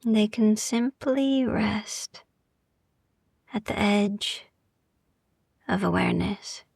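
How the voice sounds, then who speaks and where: A woman guiding a sleep meditation in a soft, gentle, soothing ASMR style, hushed and often slipping into whispers, with long pauses. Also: mid-range voice, vocal fry, slightly rough, no room sound, clean studio sound. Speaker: sleep meditation podcast host.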